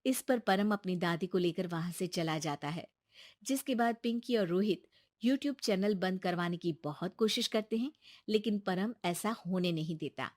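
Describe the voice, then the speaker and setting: A woman's voice: lowish voice, moderate pace, darkish tone, dry recording, studio quality. A woman narrating a scripted moral story as its storyteller.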